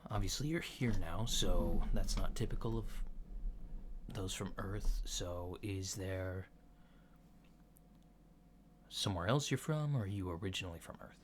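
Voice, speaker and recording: Casting: male performer for a polite alien physician in ASMR roleplay, lowish voice, unhurried and reassuring, noisy lo-fi sound. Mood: calm, reassuring. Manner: hesitant, methodical, reassuring clinical questioning. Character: polite, unhurried human-looking alien doctor giving a checkup. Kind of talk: soft-spoken ASMR roleplay. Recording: lo-fi with clear background noise.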